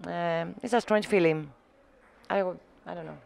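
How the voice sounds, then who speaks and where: A middle-aged woman from Argentina, speaking English as an in a halting, searching way as an interview guest. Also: on the low side, vocal fry, a little mellow. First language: Spanish. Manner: halting, searching for words, frequent restarts. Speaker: muralist guest. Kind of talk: casual interview conversation.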